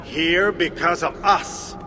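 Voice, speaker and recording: A man's voice: a little high, slow pace, crowd noise, background noise. A man playing a needling dwarf sidekick in a video game. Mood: taunting. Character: high-voiced, needling male dwarf sidekick. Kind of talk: video game cinematic acting. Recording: long reverb, music under voice. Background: cinematic game music.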